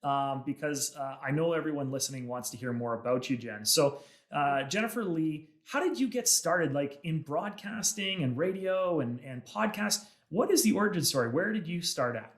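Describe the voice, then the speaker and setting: A man hosting a casual podcast, upbeat and friendly. He fills his sentences with "uh" pauses. The room adds a noticeable echo. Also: a little high, expressive. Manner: friendly, many "uh" pauses, enthusiastic. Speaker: podcast host. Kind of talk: casual interview chat.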